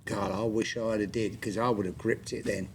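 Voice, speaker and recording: A man's voice: lowish voice, steady tone, fairly quick, a crowd in the background. A man in his sixties hosting a podcast, chatting casually with friends and often stammering into his sentences. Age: 60s. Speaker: podcast host. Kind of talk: casual chat.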